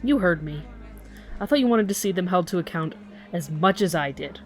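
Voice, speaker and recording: A woman's voice: fairly low pitch, lively intonation, normal speed, soft background music, lightly acted. Woman acting a hard-nosed, sarcastic police detective. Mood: bitter, angry. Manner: blunt, sarcastic, profane. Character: angry, foul-mouthed, hard-nosed female police detective. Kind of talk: audio drama acting.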